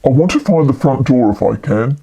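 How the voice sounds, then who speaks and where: A woman voicing a calm, commanding male magician in a storybook, speaking in a deliberate, reassuring way. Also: extremely low pitch, gravelly, husky, pitched below the performer's own voice, full character voice. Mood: thoughtful.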